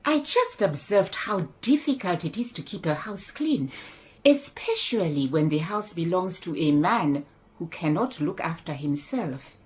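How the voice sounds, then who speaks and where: A composed, shrewd middle-aged woman in a radio play , played by a woman. She speaks in a fairly low, measured voice with a dry edge. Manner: calm, measured, dryly pointed. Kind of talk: radio drama acting.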